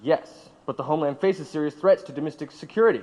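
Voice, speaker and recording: Actor as officious high-voiced male federal agent. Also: a little mellow, close to an everyday voice. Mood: officious, controlled. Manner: formal, measured, officious. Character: stern, formal male federal agent interrogator, 1919. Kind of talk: radio drama acting. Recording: reverberant hall-like room.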